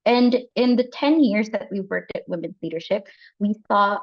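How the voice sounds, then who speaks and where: A woman's voice: mid-range voice, normal speed. A woman hosting an online workshop who thanks people and sometimes restarts mid-sentence. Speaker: workshop host. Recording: muffled lo-fi audio.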